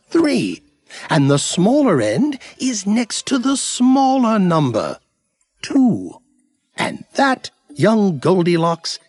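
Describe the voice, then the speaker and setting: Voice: medium pitch, darkish tone, breathy edge, exaggerated voice. An actor playing a pompous yet patient storybook narrator in a children's cartoon, formal and dramatic in delivery.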